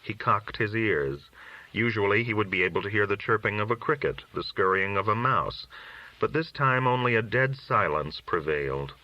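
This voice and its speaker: Man, story narration, low pitch, measured solemn delivery, slightly muffled lo-fi recording. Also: animated. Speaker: audiobook reader. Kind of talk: scripted literary narration.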